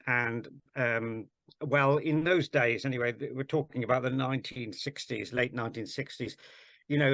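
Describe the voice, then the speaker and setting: A man in his sixties from South Wales, talking through a casual panel discussion in a hesitant way, with many pauses and restarts. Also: medium pitch, fairly bright tone, dry recording. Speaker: panel guest.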